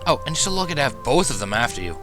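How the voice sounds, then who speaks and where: A man voicing a small, young, excitable and cheeky male dragon in a storybook reading. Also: medium pitch, racing, music in the background, voice pushed up, natural voice. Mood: relieved, excited.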